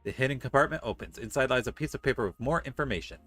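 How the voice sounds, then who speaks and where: A man's voice: mid-range voice, racing. A man streaming a game, reading its text aloud and dropping in casual, joking commentary. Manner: joking asides between read passages. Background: soft game music.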